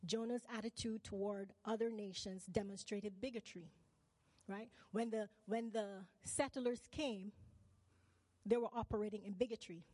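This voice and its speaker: A woman's voice: mid-range voice, fairly even intonation, normal speed, a little mellow, no echo, in a large hall. A woman from Barbados preaching a sermon. She keeps asking the congregation questions and calls for "amen" and "right?".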